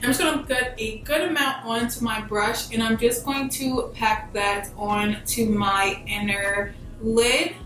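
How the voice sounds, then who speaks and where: A woman's voice: on the high side, steady tone, unhurried, hardly any pauses, a bit bright. Young American woman in a very echoey room. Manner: informal, slangy, emphatic. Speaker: beauty vlogger giving a makeup tutorial. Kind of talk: casual step-by-step tutorial chat. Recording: very echoey room, distant mic, music behind. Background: background music.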